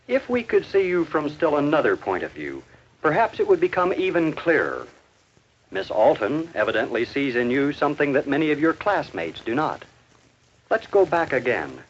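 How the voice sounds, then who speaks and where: A man's voice: medium pitch, slight background noise. A man narrating a scripted 1950s educational film in a didactic manner, posing rhetorical questions. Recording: dull 1950s film soundtrack.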